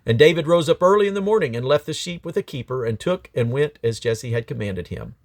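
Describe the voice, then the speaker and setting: A man's voice: mid-range voice, expressive, a little fast, no echo, studio quality. A man reading scripture aloud in a declamatory way, in short phrases with occasional small stumbles. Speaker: Bible reader. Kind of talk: scripture read aloud from the King James Bible.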